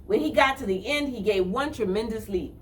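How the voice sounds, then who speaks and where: A woman hosting a children's storytime, reading and talking to young listeners in an expressive, singsong way. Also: on the high side. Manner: expressive, drawn-out, singsong delivery addressed to children. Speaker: children's storytime reader and host. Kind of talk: storytime read-aloud and singing for young children.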